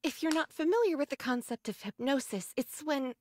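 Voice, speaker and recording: An actress playing an earnest, scholarly young android researcher in a video game, speaking in a measured, precise way. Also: female voice, high-pitched, expressive. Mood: earnest, composed. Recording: dry studio voice, faint game music.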